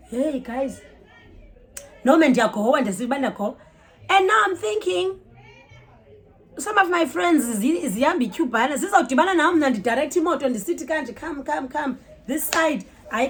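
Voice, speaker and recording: High-voiced South African Xhosa woman telling stories. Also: normal speed. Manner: animated, code-switches mid-sentence, exclaims and acts out dialogue. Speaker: storytime vlogger. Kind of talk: casual conversational storytelling.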